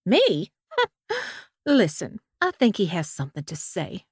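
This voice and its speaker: A woman's voice: fairly low pitch, huge pitch swings, slow pace, husky, no echo, clean studio sound, heavily acted. An actress playing a breezy, teasing reformed female supervillain in a comedic audio drama. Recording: loud noise behind.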